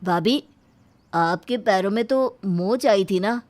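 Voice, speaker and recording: A woman's voice: medium pitch, slow, darkish tone, close to an everyday voice. A woman voicing a mild, trusting young man, a bridegroom and brother-in-law, in a moral story. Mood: reasoning. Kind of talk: animated moral storybook acting.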